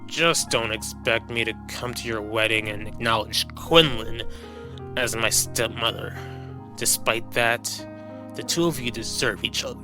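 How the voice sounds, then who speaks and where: A man playing a resentful adult son with a cutting, sarcastic manner in an animated soap opera. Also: medium pitch, a little slow, over music, natural voice. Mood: bitter. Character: bitter, resentful adult son confronting his father.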